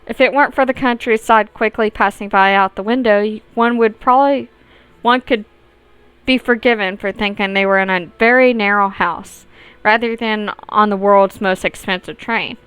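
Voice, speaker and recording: Female let's player narrating a story game, exceptionally clear tone, very little room sound. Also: mid-range voice, fairly even intonation, normal speed, fairly bright tone, dry recording. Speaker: gaming video host reading aloud. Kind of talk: visual novel let's play, reading narration and dialogue aloud.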